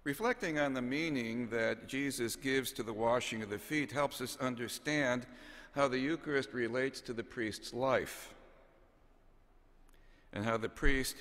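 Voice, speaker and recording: A man in his sixties, a bishop delivering a homily from notes in a measured, solemn, deliberate way with pauses, speaking into a pulpit microphone in a large cathedral. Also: mid-range voice, a bit bright. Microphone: gooseneck pulpit microphone. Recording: long cathedral reverb.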